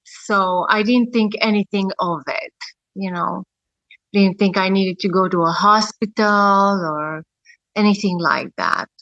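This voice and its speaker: Woman, 30s, podcast guest; slow, reflective storytelling with frequent pauses, bright tone. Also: medium pitch, no room sound, lo-fi sound. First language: Romanian. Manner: reflective, with many pauses and fillers. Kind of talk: personal storytelling.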